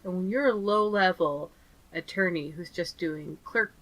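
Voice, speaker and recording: A woman's voice: lowish voice, unhurried, a bit bright, poor audio. A woman co-hosting a podcast, chatting casually, laughing often and acting out little quotes as she recaps. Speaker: podcast co-host.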